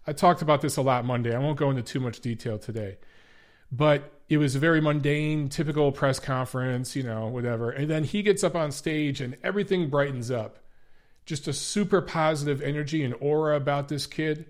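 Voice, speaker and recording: A man's voice: fairly high pitch, fairly quick. Guy hosting a sports podcast, kinda stuffy nose voice, chatty and loose, keeps saying guys. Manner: conversational, repeats phrases, frequent "guys" and "uh". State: stuffy, congested voice. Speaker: podcast host. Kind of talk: casual boxing podcast talk to viewers.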